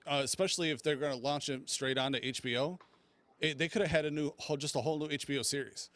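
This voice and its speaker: A man's voice: fairly high pitch, fairly even intonation, quick pace, a bit bright, no echo. Casual male podcast host, close dry sound. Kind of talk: casual movie debate chat.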